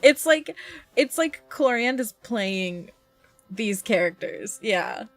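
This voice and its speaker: A woman's voice: fairly high pitch, animated, slow, no echo, soft background music. A woman streaming a game, reacting and chatting casually in a giggly, informal way into a close microphone. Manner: giggly, informal, loosely paced. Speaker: live streamer.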